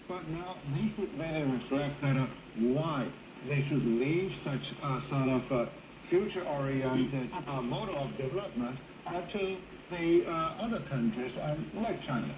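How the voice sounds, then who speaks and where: A man giving commentary as a discussion guest, speaking haltingly with frequent pauses. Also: mid-range voice, expressive. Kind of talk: interview discussion. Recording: echoey, very noisy shortwave radio reception.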